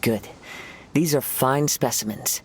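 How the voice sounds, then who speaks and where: A man voicing a gentle young wandering poet in an anime game, serene, formal and soft-spoken. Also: medium pitch, takes it slowly, husky. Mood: quietly impressed. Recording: music behind the voice.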